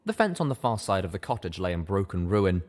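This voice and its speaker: A man's voice: low-pitched, very animated, quick pace, a little mellow. A man narrating a scripted adventure story in a dramatic reading style.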